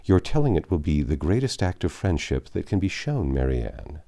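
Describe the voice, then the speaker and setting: A man's voice: low voice, big pitch swings, moderate pace, mellow. Man reading as a composed, sensible young lady. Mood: composed, grateful. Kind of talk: storybook character reading.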